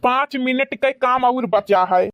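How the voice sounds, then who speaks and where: A woman playing an excitable, boastful old village uncle in a comic cartoon, with an Awadhi accent and theatrical grumbling. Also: sits high, unhurried, no room sound. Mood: blustering.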